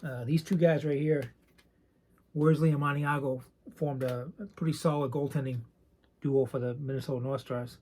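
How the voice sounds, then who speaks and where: Quiet raspy guy rambling thru his cards, lots of ums, husky voice. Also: medium pitch, fairly even intonation, moderate pace. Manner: soft-spoken, not loud; rambling, hesitant with many ums. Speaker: card collecting channel host. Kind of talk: casual show-and-tell chat.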